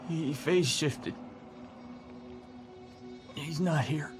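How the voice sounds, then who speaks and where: Dying video game character, scared, stammering, weak, slow, music underneath. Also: male voice, fairly high pitch. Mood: urgent pleading. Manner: halting, weak, pleading, stammering. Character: frightened dying man freed from villain's control. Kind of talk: dramatic video game acting. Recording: music behind the voice.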